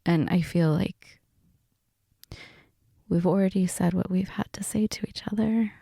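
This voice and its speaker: A woman acting as a flustered middle-aged mom who is under the weather, in an intimate roleplay audio. Her voice is breathy, husky and very mellow. She speaks slowly and haltingly, reluctant and soft, often close to a whisper. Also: on the low side, no room sound, exaggerated voice. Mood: hesitant, flustered. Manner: hesitant, halting, soft-spoken.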